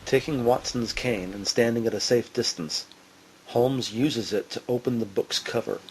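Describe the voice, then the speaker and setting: A man's voice: medium pitch, moderate pace, a little mellow, a little hiss or hum, low-quality recording. A man narrating an audio drama mystery in a dramatic, scripted style.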